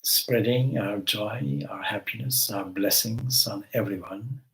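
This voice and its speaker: A man leading a guided meditation as a spiritual teacher, giving gentle instructions with long pauses between phrases. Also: lowish voice, creaky, poor audio.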